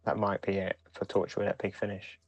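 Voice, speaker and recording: Low-voiced man, close dry sound. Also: completely flat delivery, fairly quick, no echo. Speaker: podcast co-host. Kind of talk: casual chat, reading out release news.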